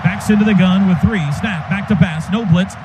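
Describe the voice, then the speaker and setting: A man doing live radio play-by-play of a football game in clipped, rapid calls that burst into shouts on big plays. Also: sits high, steady tone, background noise. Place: stadium radio booth.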